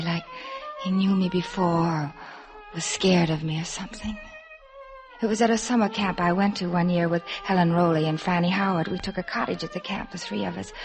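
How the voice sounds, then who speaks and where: An actress playing a shy, wistful young woman recalling a summer in a halting, artless way in a 1950s radio drama. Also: fairly low pitch, gravelly, rough recording. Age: young adult. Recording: 1950s radio broadcast, soft music behind.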